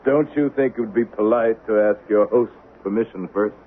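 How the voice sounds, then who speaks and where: A vengeful Old West man acted by a man in a vintage radio play, with a deep voice and a measured, sardonic, taunting manner. The sound is narrow and tinny, with some hiss. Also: lightly acted. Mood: triumphant, contemptuous. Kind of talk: 1970s radio drama acting.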